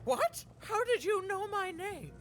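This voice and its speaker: A performer voicing a muddled, forgetful old guardian in a video game, halting and dramatic. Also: very high-pitched, sing-song, a bit bright, soft background music, exaggerated voice. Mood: startled, alarmed. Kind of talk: video game character acting.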